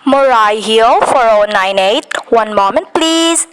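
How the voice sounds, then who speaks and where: A woman's voice: on the high side, lively intonation, slow pace, background noise. A girl playing a polite telephone operator. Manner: formal, courteous.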